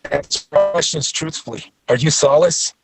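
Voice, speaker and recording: A man's voice: a little high, normal speed, close to an everyday voice. A man playing a stern officer in his early thirties at a script table read, clipped and authoritative. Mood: stern, demanding.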